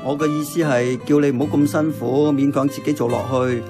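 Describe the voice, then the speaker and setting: Man playing a higher-pitched earnest Hong Kong uncle. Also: steady tone, a little fast, lightly acted. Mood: gently firm. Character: earnest, thoughtful old uncle running a neighbourhood diner. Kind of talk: radio drama acting. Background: music.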